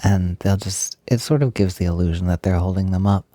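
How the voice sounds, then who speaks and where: A man playing a gentle, enthusiastic young roommate in an intimate audio roleplay, talking softly, hesitantly and reassuringly with a breathy voice. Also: low-pitched, a little fast, dry recording, studio quality, close to an everyday voice. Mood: focused, chatty. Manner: soft, hesitant, giggly, reassuring. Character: gentle, enthusiastic young male roommate who loves frilly clothes.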